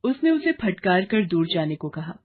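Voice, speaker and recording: A woman's voice: fairly low pitch, big pitch swings, moderate pace, phone-quality sound. A woman reading a scripted children's moral story as narrator.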